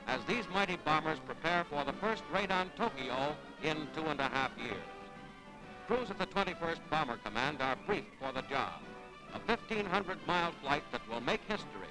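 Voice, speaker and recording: A man's fairly high voice rides over loud music on a vintage newsreel soundtrack. He has an American accent and narrates from a script in the 1940s wartime manner, declaiming each line dramatically. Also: lively intonation, moderate pace, low-quality recording. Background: loud musical score.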